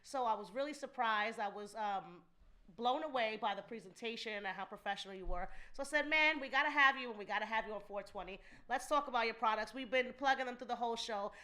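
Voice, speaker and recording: A woman hosting a casual podcast, loud and talkative, laughing easily. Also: on the high side, fast talker. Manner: says she has a loud voice and sits back from the mic. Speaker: podcast host.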